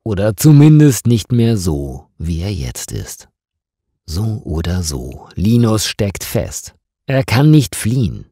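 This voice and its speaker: A man narrating a scripted story for language learners in deliberate, simple short phrases. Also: lowish voice, very animated, mellow, slightly rough, no echo, studio quality.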